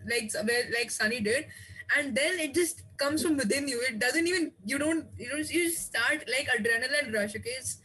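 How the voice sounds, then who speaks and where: Earnest young Hindi-speaking student, bright tone. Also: male voice, very high voice, a little fast, slightly rough, low-quality recording. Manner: earnest, encouraging, frequent restarts. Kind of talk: sharing advice on a video call. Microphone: headset on video call.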